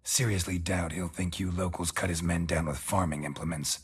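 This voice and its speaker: A man playing a gruff, middle-aged monster-hunting mercenary in a video game, terse and dry, with a gravelly voice. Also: low-pitched, steady tone, normal speed. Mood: stern, unbothered. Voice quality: gravelly, husky. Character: gruff stoic male monster-hunting mercenary, weary and menacing.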